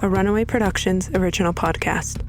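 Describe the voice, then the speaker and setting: A woman's voice: mid-range voice, steady tone, fast talker, soft background music, natural voice. A woman reading scripted introductory narration as announcer of a drama podcast. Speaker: series announcer.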